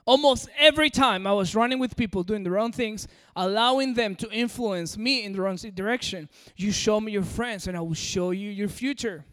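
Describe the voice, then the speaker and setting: Preacher: man from Peru with an American-sounding accent, high voice, hall reverb. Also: a little fast, few pauses, a bit bright. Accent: American-sounding English. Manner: direct and coaxing, addresses the audience. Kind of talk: sermon.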